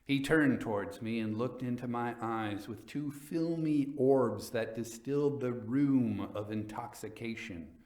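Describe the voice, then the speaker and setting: A man reading literary fiction as a dramatic storyteller, in a measured, theatrical manner. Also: medium pitch, a little mellow, roomy sound.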